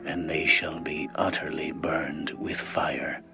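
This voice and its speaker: An aged, solemn king played by a man speaks in an extremely low voice. He weighs each word very slowly and gravely, as in dramatized scripture. Music plays clearly behind him. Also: completely flat delivery, exaggerated voice.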